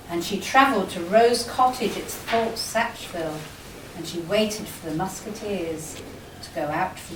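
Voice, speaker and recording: A woman narrates a pantomime in a deliberate storybook style. Her pace is slow and dramatic. She sounds far from the mic in an echoey hall, with clear noise on the recording. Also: mid-range voice. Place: live amateur stage in a hall.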